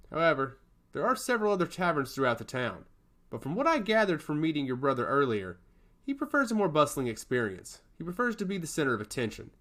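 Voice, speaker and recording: A man's voice: fairly high pitch, fairly bright tone. A man playing a wry, insinuating god of chaos in a fantasy audiobook. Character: suave, probing male god of chaos.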